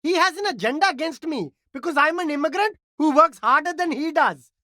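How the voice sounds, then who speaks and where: High-pitched, animated street vendor. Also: male voice, fairly quick, no echo, studio quality, exaggerated voice. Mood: indignant. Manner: ingratiating, evasive, pleading sales patter. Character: immigrant male street vendor, eager, defensive, salesy hustler. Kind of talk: video game character dialogue.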